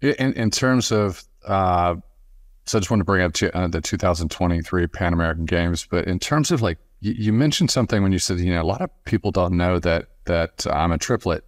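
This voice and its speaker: A man hosting a casual sports podcast interview, speaking haltingly and often repeating words as he restarts. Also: sits low, no echo, clean studio sound.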